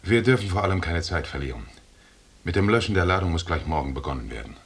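A stern leader of an expedition speaks in a deep, husky voice. He is curt and authoritative, lecturing his men in the manner of German radio drama. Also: animated, fast, heavily acted. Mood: brisk, firm. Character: stern, commanding British male expedition leader.

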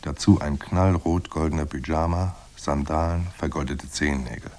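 An actor playing a hard-boiled private detective in a noir radio drama, dry, laconic and sardonic. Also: male voice, very deep voice, steady tone, moderate pace, a little mellow. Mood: dry, wry. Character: hard-boiled male private detective narrating, wry and unflappable. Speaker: narrator and lead actor.

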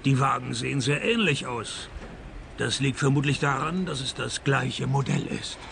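A man playing a wry, deadpan private detective in a radio play, dry and laconic in narration and dialogue. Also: mid-range voice, moderate pace, vocal fry, a little hiss or hum. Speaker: narrator and lead actor.

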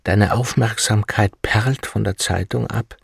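An actor playing the bitter, resigned inner voice of an elderly professor in a radio drama, measured and sardonic. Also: male voice, fairly low pitch, steady tone, gravelly, husky, no echo, studio quality, heavily acted. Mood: grim.

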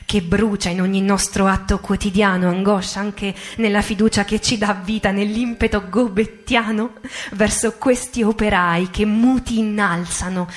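A woman recites poetry as radio theatre, in a solemn, deliberate way with dramatic pauses. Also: mid-range voice, fairly even intonation. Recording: music under the voice. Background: music.

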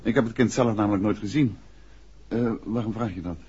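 An actor playing a composed, thoughtful gentleman detective, speaking in a measured, inquisitive way in a 1960s radio drama. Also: fairly low pitch, breathy edge. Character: composed, thoughtful gentleman amateur detective, middle-aged husband. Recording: dull, faintly noisy old radio recording.

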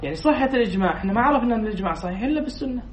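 A man's voice: extremely high pitch, lively intonation, very fast. A man teaching a class as a sheikh, reading lines from a text, explaining them and quizzing his students before repeating their answers. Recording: echoey room, distant dull mic, faint noise.

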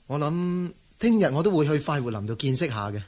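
An actor playing a curious, courteous wandering gentleman swordsman in a radio drama, theatrical in delivery. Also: fairly high pitch, very animated, fairly quick. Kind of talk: Cantonese radio drama acting.

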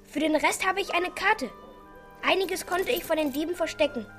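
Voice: extremely high pitch, moderate pace, fairly bright tone. An actor in a children's radio play voicing a well-bred, clever merchant's son who explains things carefully and eagerly. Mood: explaining. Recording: studio radio play with music underneath.

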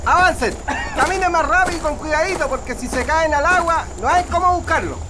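Brutal, barking Chilean military officer. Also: male voice, very high-pitched, fairly quick, noisy background. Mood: harsh, threatening. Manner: barking, threatening, taunting. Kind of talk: radio drama acting.

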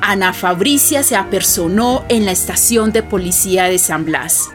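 A woman's voice: mid-range voice, moderate pace, lightly acted. An actress playing a neighbourhood woman with a Colombian accent, narrating memories of her friend in a radio drama. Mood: reminiscing. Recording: music behind the narration.

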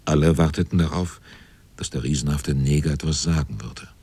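Extremely deep, husky sailor narrator. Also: male voice, warm tone. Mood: grave, tense. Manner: measured, grave, brooding. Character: brooding sailor narrator looking back on the crew. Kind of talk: dramatic literary narration in a radio drama.

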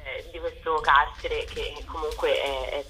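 A young woman, a theater author and director, answering interview questions in a hesitant, halting way with many filler words. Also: low voice, steady tone, sharp tone, low-quality recording.

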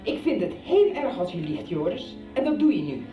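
A woman's voice: mid-range voice, sing-song, moderate pace, distant mic, faint music behind, natural voice. A middle-aged actress playing a strict, interrogating mother in a radio soap. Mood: stern. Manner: stern, interrogating. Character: strict mother confronting her teenage son. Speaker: actor. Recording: dull roomy radio recording, off-mic.

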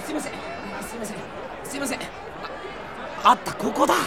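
A man acting an earnest, excitable, slightly absent-minded rival fan in a Japanese comedy audio drama, with animated, blustering masculine speech. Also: very high voice, fairly quick, a crowd in the background. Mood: hurried.

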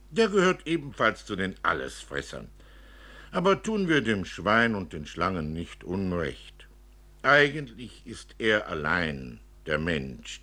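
A man's voice: fairly low pitch, sharp tone, heavily acted. A man voicing a worried, bitter father who lectures his young daughter emphatically and indignantly, as in a literary story. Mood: grave, bitter.

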